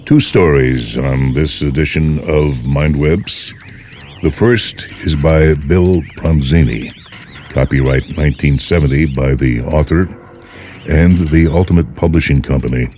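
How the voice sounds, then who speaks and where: A very deep-voiced man narrates short fiction as host and reader. His delivery is measured and theatrical, with deliberate pauses. Music runs clearly beneath his voice. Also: darkish tone. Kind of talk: dramatic scripted narration of short stories. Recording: music bed behind the voice.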